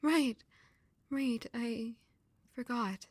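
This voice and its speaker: A woman's voice: on the high side, extremely slow, darkish tone, dry recording. An actress playing a fragile young servant woman, grieving and full of self-doubt, in an emotional audio drama. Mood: hesitant, questioning.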